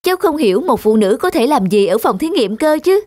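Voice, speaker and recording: A man's voice: extremely high pitch, sing-song, a little fast, a little mellow, no echo, clean studio sound, exaggerated voice. A man voicing a sceptical, proud young scientist in a cartoon, formal in manner. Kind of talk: animated drama dubbing. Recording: music under the voice.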